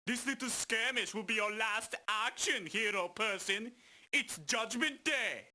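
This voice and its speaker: A man's voice: high voice, measured pace, sharp tone, heavily acted. A man voicing a pompous, boastful muscle-bound game villain, making grandiose taunts. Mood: threatening. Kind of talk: exaggerated video game cartoon acting.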